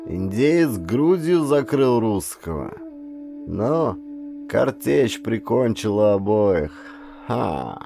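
A man's voice: fairly low pitch, very animated, takes it slowly, vocal fry, dark tone, faint music behind, exaggerated voice. Man playing a barking old pirate sea wolf. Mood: gloating. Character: gruff old male pirate sea wolf, boastful and brisk.

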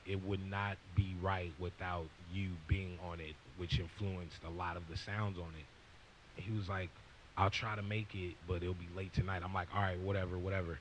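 Deep-voiced young man telling a story. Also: level tone, normal speed, a little hiss or hum, rough recording. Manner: profane, rambling, acts out quoted lines. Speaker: guest rapper. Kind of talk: casual storytelling. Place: stage with leather couch before audience. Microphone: handheld mic.

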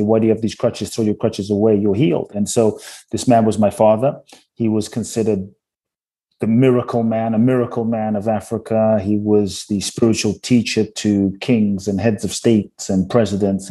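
A young man born in Ghana and raised in London, a podcast guest telling his life story in a measured, earnest way over an earbud mic on a video call. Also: lowish voice, mellow, slightly rough. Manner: measured, earnest, repeats phrases for emphasis. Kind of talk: reflective storytelling. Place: home room. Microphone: earbud headset mic on video call.

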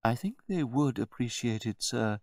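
A man voicing a deferential butler who is grave, formal and quietly disdainful, in a story reading. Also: mid-range voice, expressive, dry recording, studio quality, voice pushed up, close to an everyday voice. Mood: politely dry. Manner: formal, measured, politely condescending.

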